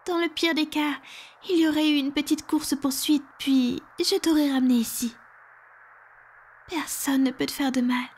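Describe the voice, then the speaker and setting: A woman playing a powerful, protective female vampire in an ASMR roleplay, speaking in a soft, breathy, formal and self-assured way. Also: high voice, steady tone, normal speed, lightly acted. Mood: soothing, protective. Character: powerful protective female vampire, commanding yet tender lover.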